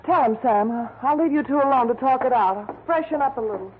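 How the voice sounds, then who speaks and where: An actress playing an anxious, pleading femme fatale in a melodramatic 1940s radio detective drama. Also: female voice, high voice, brisk, lightly acted. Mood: nervous. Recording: tinny, faintly noisy 1940s radio recording.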